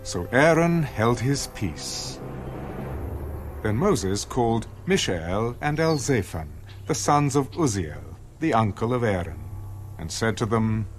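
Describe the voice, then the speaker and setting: A man narrating scripture as a scripted dramatized reading, in a measured, solemn manner. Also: mid-range voice, very animated. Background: music under the voice.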